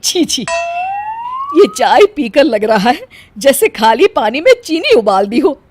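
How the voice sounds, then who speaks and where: A woman's voice: high-pitched, big pitch swings, measured pace, a little mellow, dry recording, exaggerated voice. A woman voicing a suspicious, scolding older mother-in-law in a theatrical cartoon style. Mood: disgusted. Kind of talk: Hindi cartoon story acting.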